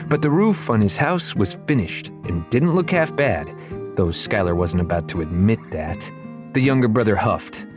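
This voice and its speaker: A man narrating a children's fantasy audiobook, wry and conversational, speaking straight to the listener. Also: fairly low pitch. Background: music.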